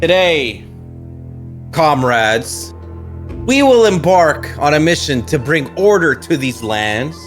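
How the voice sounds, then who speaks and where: A man playing a confident, sinister kung-fu military commander in a radio drama, speaking in a measured, persuasive and smug way. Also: fairly high pitch, very animated, faint music behind. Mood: confident, rousing. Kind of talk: radio drama villain speeches.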